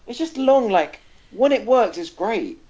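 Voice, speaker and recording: A man's voice: high-pitched, sing-song, a little slow, darkish tone. A man co-hosting a casual gaming podcast, ranting animatedly and swearing freely. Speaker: podcast co-host. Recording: dull lo-fi podcast audio.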